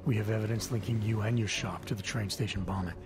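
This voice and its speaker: A calm stern agent. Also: male voice, on the low side, gravelly. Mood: firm. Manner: calm, measured, firm. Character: calm male augmented agent, stern interrogator. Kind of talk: video game cutscene acting. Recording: game cutscene with music behind.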